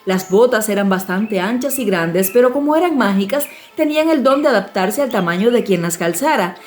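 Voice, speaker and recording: A woman's voice: medium pitch, lively intonation, moderate pace. A woman reading a classic fairy tale as an audiobook narrator, in scripted storytelling style. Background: soft music.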